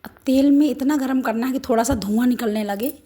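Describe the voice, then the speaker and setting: A middle-aged woman with a hoarse, sore throat, walking through a home recipe step by step in a homely, instructive way. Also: high-pitched, brisk, warm tone. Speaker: cooking channel host. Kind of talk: home cooking demonstration.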